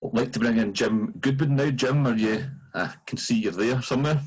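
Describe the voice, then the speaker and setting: A man's voice: medium pitch, normal speed, slightly rough. A Scottish man hosting an online fan Q&A, chatting informally and a little hesitantly on a video call. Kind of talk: conversational moderating.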